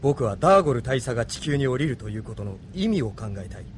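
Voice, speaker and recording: A male actor as a thoughtful young commander in an anime radio drama. He speaks earnestly at a medium pitch, with faint noise behind him. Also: sing-song, fast talker, lightly acted. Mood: pensive, determined. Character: serious, thoughtful young male resistance leader. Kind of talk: Japanese anime audio drama acting.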